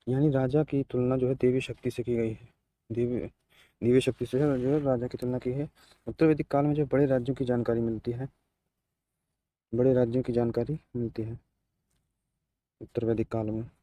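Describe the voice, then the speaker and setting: A man giving an exam-preparation lecture as a teacher, haltingly repeating and restating his key phrases. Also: mid-range voice, steady tone, warm tone, no room sound. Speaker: teacher of exam history lessons.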